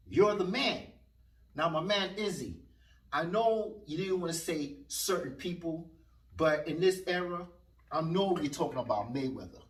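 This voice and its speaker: A man's voice: fairly high pitch, recorded from a distance. A man in his forties, casually rambling to his viewers as host of his own channel. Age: 40s. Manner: rambling, animated, talks straight to viewers. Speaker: vlog host. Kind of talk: casual commentary. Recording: echoey room, voice away from mic.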